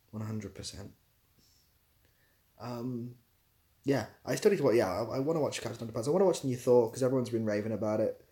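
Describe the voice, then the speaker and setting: A man talks casually to his livestream viewers in an ordinary mid-pitched voice. He reads out questions and answers in a laid-back, rambling manner, often poking gentle fun at himself. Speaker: live streamer answering chat questions.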